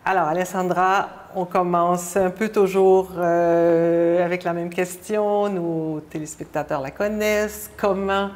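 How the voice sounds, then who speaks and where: A woman's voice: on the low side, darkish tone. A woman hosting a conversational television interview. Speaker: interviewer, TV host.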